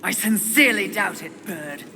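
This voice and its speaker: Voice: mid-range voice, lively intonation, normal speed, long reverb tail, over music, lightly acted. An actor playing a fierce, defiant horseman of the apocalypse, terse and scornful, in a video game cutscene. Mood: grim menace.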